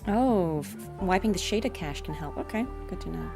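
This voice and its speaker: A woman's voice: lowish voice, lively intonation, moderate pace. A woman live-streaming a video game with casual commentary, chatty and thinking aloud as she plays. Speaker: streamer, voice actress. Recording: game music behind the voice. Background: video game music.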